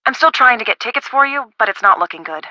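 A woman voicing a friendly young woman in a video game, casual, expressive and helpful. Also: fairly high pitch, fast, no room sound, highs cut off. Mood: chatty, casual.

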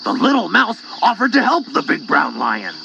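A man narrating a children's story as a storyteller in an animated, scripted style. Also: high-pitched, moderate pace, creaky, faint music behind. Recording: dull cassette tape.

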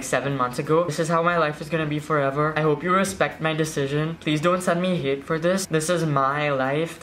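A young man with an American accent, talking fast to camera in a small bedroom, defensive and full of "like" fillers, recorded on wireless earbuds. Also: a little high, a bit bright, a little hiss or hum. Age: young adult. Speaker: vlogger. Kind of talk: casual vlog talk to camera.

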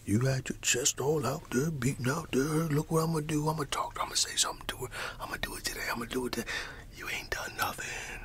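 A man performing an ASMR roleplay as a laid-back, teasing flatmate, speaking in a hushed, casual, slangy way with repeated phrases. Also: medium pitch, fast, hardly any pauses. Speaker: ASMR performer playing a roommate. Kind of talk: ASMR roleplay, whispered storytelling and one-sided chat.

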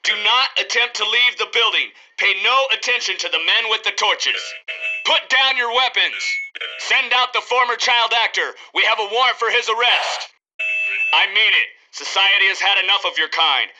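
A man playing a stern, menacing police siege negotiator giving deadpan commands in a video game. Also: high-pitched, moderate pace, soft background music, exaggerated voice, rough recording. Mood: commanding, threatening.

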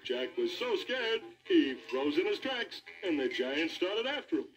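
Animated cartoon bear storyteller, high-pitched. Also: male voice, unhurried, over music. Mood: excited narrating. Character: folksy cartoon bear telling a fairy tale, easygoing and jokey. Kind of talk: animated comic storytelling for children.

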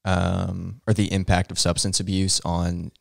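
A young man with a low, gravelly voice co-hosting a casual podcast. He chimes in with easygoing asides and plenty of "um"s, recorded dry and close on a studio mic. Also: flat delivery, a little slow, no room sound. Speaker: podcast co-host. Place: small room indoors, seated in armchair. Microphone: boom-arm studio mic close in front.

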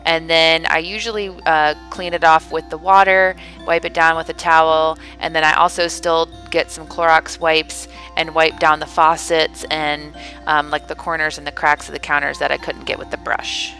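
A woman's voice: deep voice, flat delivery, normal speed, pushed and bright, faint music behind. Chatty woman vlogging her cleaning day. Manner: chatty, upbeat, lots of "like" and "um". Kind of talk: cleaning vlog narration and selfie chat. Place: large house, indoors. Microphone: phone camera at arm's length.

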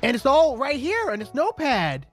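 A young man improvises a playful gameplay roleplay. His delivery is excitable and clowning, with huge swings in pitch and frequent exclamations. Game music sits faintly behind his voice. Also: moderate pace. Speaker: gaming video player.

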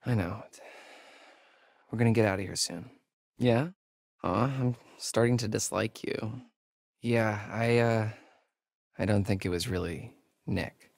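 An actor playing a sarcastic young American camp counselor in a horror video game, hesitant and conversational in delivery. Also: male voice, lowish voice, a little slow, vocal fry, long pauses, a little mellow, no echo, studio quality. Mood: uneasy. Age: young adult. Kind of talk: naturalistic horror game dialogue.

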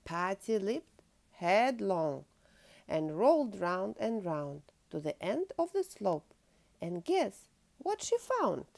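Female storytime host: very slow, highly expressive children's read-aloud with some vocal fry. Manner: asks listeners questions.

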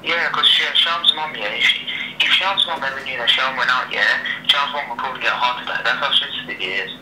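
A man's voice: mid-range voice, brisk, sharp tone. A young man chatting casually on a phone call, slangy and repetitive, tagging sentences with "yeah" and "innit". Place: phone speaker held up in a room. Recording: noisy, echoey speakerphone call.

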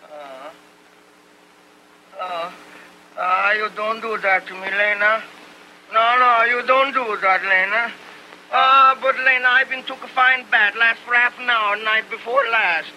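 An actor playing an excitable older immigrant farmer who speaks broken English with stammering exclamations, in old film acting style. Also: male voice, sits high, takes it slowly, pauses often, dull audio, a little hiss or hum, exaggerated voice. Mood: protesting.

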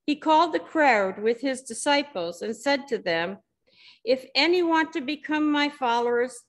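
An older woman reading scripture aloud in a measured way, in a church sanctuary. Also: a little high. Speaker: scripture reader.

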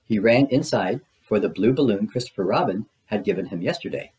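A man's voice: lowish voice, expressive, fairly quick, a little mellow. A man reading a children's storybook aloud as its narrator.

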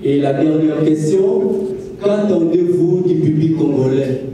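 Young guy journalist reading his question off notes, a bit high, dark tone, far off in a big echoey room with faint noise. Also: measured pace. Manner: formal, reads from notes. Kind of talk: formal press question. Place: audience of a large hall. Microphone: handheld microphone.

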